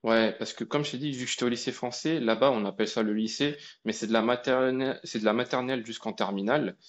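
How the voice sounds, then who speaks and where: A young man from India, a guest telling his story in a relaxed, colloquial way with many fillers and restarts. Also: medium pitch, quick pace, muffled recording. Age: young adult. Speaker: guest, AI researcher. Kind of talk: casual conversation.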